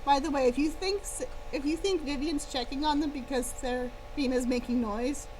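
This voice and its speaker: Woman reading her story on stream, high voice, playful casual delivery, noisy humming background. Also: moderate pace. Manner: expressive, playful. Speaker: author-style reader and streamer. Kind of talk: first-person story read-aloud with casual asides. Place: live stream. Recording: clear background hum and noise.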